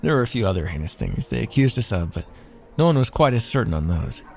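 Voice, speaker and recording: A man's voice: on the low side, sing-song, quick pace, vocal fry, a little mellow, faint music behind. A man playing a wry, teasing royal heir and former mercenary in an ASMR fantasy roleplay, with formal, theatrical phrasing. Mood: reflective, guarded.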